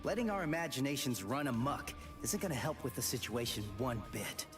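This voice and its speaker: A man's voice: mid-range voice, sing-song, brisk, distant mic, over music, close to an everyday voice. An actor voicing a brash, hot-headed teenage boy hero in an anime game, blunt and defiant. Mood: firm. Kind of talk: English-dubbed anime game voice acting.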